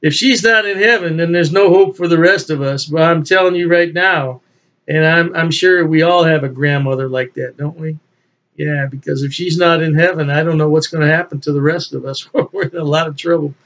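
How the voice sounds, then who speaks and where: A man's voice: on the high side, steady tone, a little fast, fairly bright tone, lo-fi sound. An older man chatting casually and folksily as he reviews a beer at his home desk, chuckling to himself now and then. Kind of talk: casual solo video review. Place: home office with beer memorabilia, indoors. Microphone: webcam at desk.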